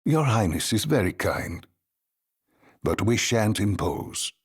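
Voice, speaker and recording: Humble elderly retainer for a game cutscene, formal and courteous, heavily husky, on the low side. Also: male voice, sing-song, slow, full character voice. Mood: apologetic, courteous. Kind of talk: video game cutscene acting.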